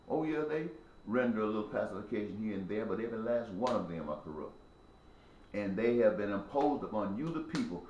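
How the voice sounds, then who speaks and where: A man's voice: on the low side, reverberant, highs cut off, slight background noise. A man in his sixties giving an impassioned spoken monologue with a preachy, repetitive, emphatic cadence. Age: 60s. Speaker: speaker addressing viewers.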